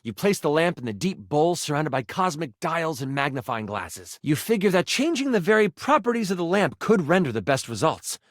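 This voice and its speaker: An actor playing an excitable, encouraging male game master who narrates tabletop fantasy with theatrical, geeky eagerness. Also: a little high, normal speed, hardly any pauses, no echo, studio quality. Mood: dramatic narrating. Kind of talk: dramatic tabletop fantasy narration with playful asides.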